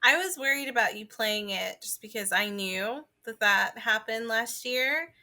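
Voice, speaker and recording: Bright-voiced woman chatting on a podcast. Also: a little high. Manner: informal, halting phrasing peppered with "like". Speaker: podcast co-host. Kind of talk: casual chat.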